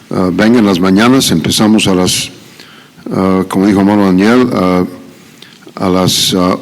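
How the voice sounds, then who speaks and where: A middle-aged man with a Mexican Spanish accent preaches from the pulpit in a fairly low voice. He hesitates often on drawn-out "ah" fillers, then climbs into emphatic questions. The sound carries a long church-hall echo. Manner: halting, with many "ah" fillers, rising to emphatic questions. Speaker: visiting preacher. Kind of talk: sermon. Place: church sanctuary.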